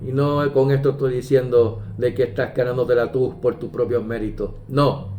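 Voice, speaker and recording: A man preaching a sermon, measured and emphatic, pausing often and quoting scripture. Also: mid-range voice, fairly even intonation. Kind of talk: slow deliberate sermon. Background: soft guitar music.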